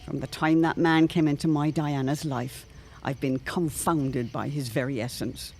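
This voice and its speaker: A woman's voice: deep voice, fairly even intonation, moderate pace, a little mellow, slight background noise. Woman playing an ornate, theatrical, anxious matron. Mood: troubled, foreboding. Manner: formal, ornate, theatrical phrasing. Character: Jane Pembroke, anxious, eloquent older neighbourhood matron with premonitions. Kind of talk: period radio drama acting.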